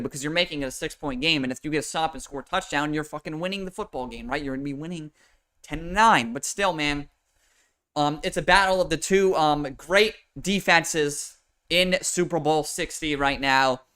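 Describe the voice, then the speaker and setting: A man's voice: fairly high pitch, sharp tone, smooth. A young man with a nasal voice, giving rambling, profane livestream commentary on a football game. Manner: rambling and profane, with many fillers and restarts. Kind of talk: casual livestream sports commentary. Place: home room with a fan. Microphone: desk microphone with noise suppression.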